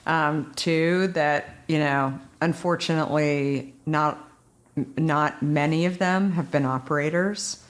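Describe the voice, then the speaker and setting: Woman podcast host, low voice, rambling conversational delivery. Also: fairly even intonation, slow pace. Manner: rambling, heavy use of "you know" and "like". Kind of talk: casual interview chat.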